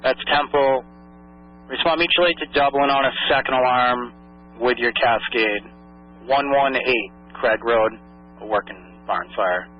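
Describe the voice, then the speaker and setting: A man's voice: medium pitch, a little slow. A man working as a fire dispatcher, making procedural radio announcements in clipped phrases and repeating messages back. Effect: two-way radio. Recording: muffled lo-fi dispatch radio audio.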